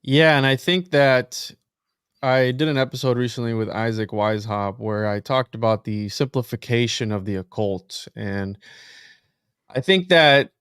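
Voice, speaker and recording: This is a man hosting a podcast in an informal, conversational way. His voice is clean and resonant, with a mid-range pitch and very little room sound. He speaks straight to his listeners, moving between casual talk and reading aloud. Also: measured pace, a bit bright, clear, resonant tone, no echo, clean studio sound. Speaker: podcast host.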